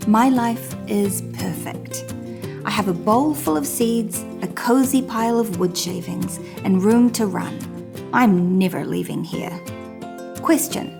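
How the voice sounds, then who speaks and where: Casting: a woman playing an anxious little male hamster, storybook narrator, melodramatic, some gravel in the voice, music underneath. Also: mid-range voice. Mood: relieved, delighted. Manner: melodramatic storytelling with hushed suspense and emphatic exclamations. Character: dramatic, anxious little male pet hamster telling his diary. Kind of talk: children's storybook reading.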